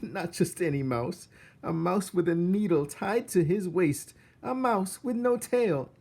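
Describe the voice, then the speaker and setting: Man voicing an excitable storybook cook woman, swooping high. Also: measured pace, vocal fry, full character voice. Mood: gleeful. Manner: exclamatory, self-muttering, giggling "hoo-hee". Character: excitable, jumpy, jolly female castle cook. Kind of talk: children's storybook character voice.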